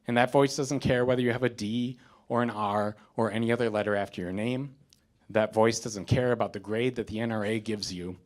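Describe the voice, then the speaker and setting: Earnest man in his thirties, low voice. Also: steady tone. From United States. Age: 30s. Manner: earnest, halting with frequent fillers, building to firm declarations. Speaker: community responder. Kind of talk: speech after a stage performance, read from notes. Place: theater, in front of an audience.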